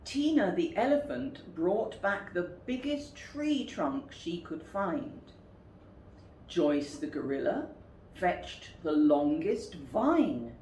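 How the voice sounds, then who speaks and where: A woman reading a picture book aloud to children in an expressive, deliberate way. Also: lowish voice. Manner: expressive, deliberate, asks listeners questions. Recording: roomy, distant, noisy recording.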